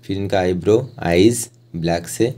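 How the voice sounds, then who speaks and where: Low, mellow-voiced Indian man explaining steps. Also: a little slow. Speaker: rangoli art tutor. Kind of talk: step-by-step tutorial narration.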